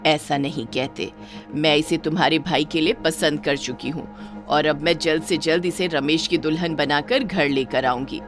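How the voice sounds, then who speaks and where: A woman's voice: deep voice, fairly even intonation, normal speed, soft background music, pitched below the performer's own voice, close to an everyday voice. A woman voicing a practical middle-aged mother in a moral story, assured, motherly and matter-of-fact. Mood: firm, chiding. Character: firm, practical middle-aged mother choosing matches for her children. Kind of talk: acted dialogue in a moral story.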